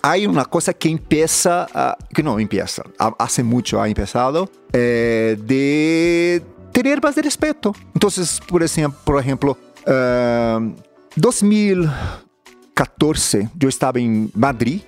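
A man's voice: mid-range voice, big pitch swings, slow, faint music behind. A Brazilian man who speaks Portuguese natively, giving interview answers in a second language. He talks with passion but often stops to search for words. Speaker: activist guest.

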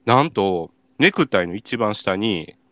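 A young man with a Kansai accent hosting a radio show, telling casual comic stories in an animated way with acted-out reactions. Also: lowish voice, measured pace, dry recording. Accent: Kansai Japanese. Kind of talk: casual comic storytelling chat.

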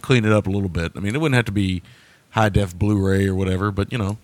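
A man's voice: lowish voice, fairly even intonation, quick pace. A man co-hosting a movie podcast in casual chat, easygoing and thinking aloud as he talks. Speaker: podcast co-host.

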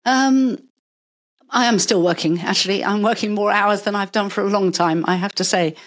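An older woman, a retired paediatrician, talking through her working life in a measured, explanatory way, with stories along the way. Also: medium pitch. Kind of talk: conversational interview.

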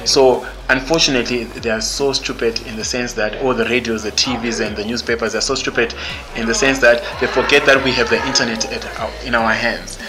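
A man's voice: mid-range voice, fairly even intonation, brisk, over music, low-quality recording. A man from Zimbabwe with a Zimbabwean English accent, a podcast guest speaking candidly and bluntly, with many fillers and restarts. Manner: blunt, outspoken. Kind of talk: candid interview conversation.

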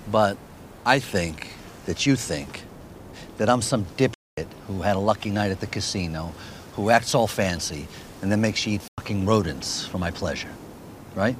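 A man playing a menacing old tycoon, slow and blunt. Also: lowish voice, slight background noise, natural voice. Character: menacing older male business tycoon. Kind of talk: television drama acting.

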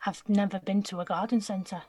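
An actress playing a blunt, cheeky, streetwise nineteen-year-old woman who talks in clipped slang with a slightly husky voice in an audio drama. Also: mid-range voice, steady tone, brisk, dark tone, faint music behind, lightly acted. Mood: teasing, guarded. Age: young adult. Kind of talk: naturalistic audio drama dialogue.

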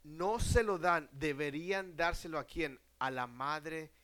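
A man preaching a sermon to a congregation, putting questions to the audience and answering them himself, often dropping to a hush at the end of a phrase. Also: a little high. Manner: slow and deliberate, drops to a hush at ends of phrases.

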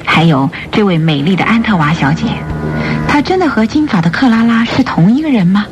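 A woman's voice: medium pitch, big pitch swings, fairly quick, lo-fi sound. Female radio drama narrator over music. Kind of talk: scripted dramatic storytelling narration.

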